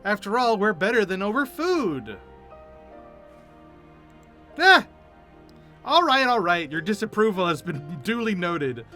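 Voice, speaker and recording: A man's voice: high voice, big pitch swings, measured pace, long pauses, pushed and bright, soft background music, exaggerated voice. A man voicing an eager, chatty young food-loving carpenter apprentice in theatrical, effusive game dialogue read aloud. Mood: flustered.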